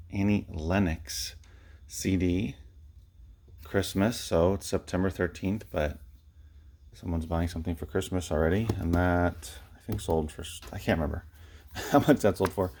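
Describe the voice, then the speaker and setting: Man, deep creaky voice, casual rambling vlog delivery, low-key, reseller showing items. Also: moderate pace, darkish tone. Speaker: online book and media reseller sharing recent sales. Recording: noticeable background noise, clicks.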